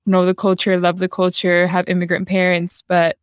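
A woman's voice: lowish voice, completely flat delivery, moderate pace, clean tone, no room sound, studio quality. A young adult woman hosting a casual podcast conversation, chatty and affirming, talking with enthusiasm. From United States. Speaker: podcast host.

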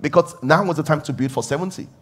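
A man's voice: a little high, fast talker. A middle-aged male pastor preaching a conversational sermon, repeating key phrases and rising in emphatic bursts.

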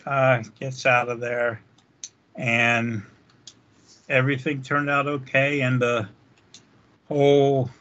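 A man co-hosting a podcast, recapping episodes in an unhurried, easygoing casual chat. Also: mid-range voice, pushed and bright, muffled recording. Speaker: podcast co-host.

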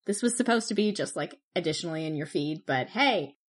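A woman co-hosting a podcast, telling stories in an animated, chatty way. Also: low-pitched, brisk. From Canada. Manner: chatty, animated storytelling with laughs. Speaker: podcast co-host. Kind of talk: casual chat.

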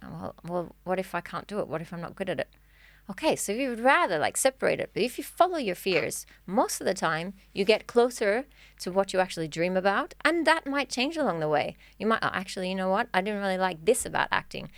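A woman's voice: medium pitch, sing-song, a little fast, few pauses, a bit bright, no echo. Earnest encouraging woman coach, dry sound. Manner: earnest, encouraging, restarts often. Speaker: life coach guest. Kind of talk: casual podcast chat.

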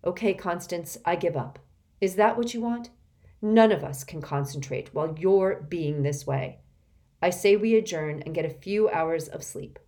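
Level-headed boy, calm and reasoning, woman narrator's own lowish voice. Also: unhurried, natural voice. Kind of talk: audiobook character voice.

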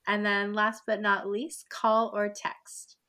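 A woman's voice: medium pitch, monotone, pushed and bright. Bubbly woman hosting a podcast. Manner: bubbly, lots of fillers, quick rapid-fire questions. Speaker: podcast host. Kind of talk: casual interview chat.

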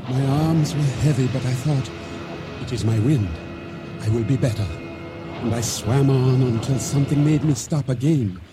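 An actor playing a solemn island boatman, narrating his ordeal at sea in measured, formal, deliberate words for a radio drama. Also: mid-range voice, close to an everyday voice. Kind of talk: dramatic first-person narration for radio theater. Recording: radio drama with music underscore.

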